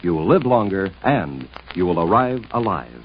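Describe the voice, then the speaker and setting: A man's voice: deep voice, sing-song, normal speed. A man with an American accent reading a scripted 1950s radio public service announcement. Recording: dull 1950s radio, faint noise.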